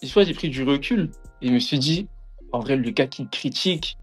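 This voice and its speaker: A man's voice: mid-range voice, expressive, moderate pace, husky, soft background music. A young man from France, a podcast guest, chatting in an informal, slangy way full of little tag phrases. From France, of Antillean background. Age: young adult. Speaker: podcast guest, music media content creator. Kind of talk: casual chat, slangy.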